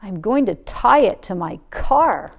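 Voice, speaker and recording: A woman's voice: medium pitch, big pitch swings, unhurried, gravelly, full character voice. A woman voicing a confident, determined male dentist in a storybook, with emphatic exclamations.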